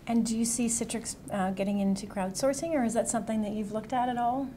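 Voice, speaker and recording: A woman's voice: fairly high pitch, fairly even intonation, quick pace, dark tone, slight background noise. A woman interviewer asking questions in a friendly, encouraging way.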